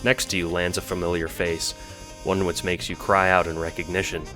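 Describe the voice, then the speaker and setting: A man's voice: sits low, flat delivery, normal speed, rough recording. A man narrating an audio drama in a dramatic, scripted storytelling style, with music behind him.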